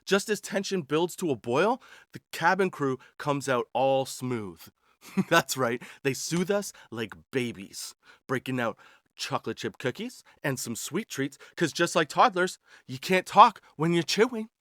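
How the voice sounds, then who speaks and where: A man's voice: fairly high pitch, animated, unhurried, fairly bright tone, dry recording, studio quality. A man in his forties hosting a podcast, telling personal stories in a wry, slangy, self-deprecating comedic style. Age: 40s. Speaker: podcast host. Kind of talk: comedic personal storytelling monologue.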